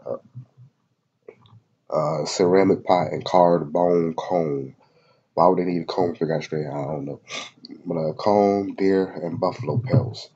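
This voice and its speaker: Male host, congested, husky, mellow tone; casual read-aloud with slang asides. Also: sits low, fairly even intonation, takes it slowly, pauses often, highs cut off. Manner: loose slangy asides between read passages, many fillers. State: congested from a head cold. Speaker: video host reading a website aloud. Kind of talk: casual screen-recorded reading and commentary. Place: at home in Florida.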